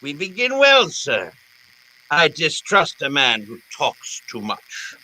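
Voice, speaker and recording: Male radio drama villain: fat, jovial crime boss, florid and calculating, swooping pitch, very forceful tone. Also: wildly swooping pitch, a little hiss or hum, exaggerated voice, low-quality recording. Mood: genial, measured. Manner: florid, theatrical, deliberate phrasing. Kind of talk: old-time radio drama acting.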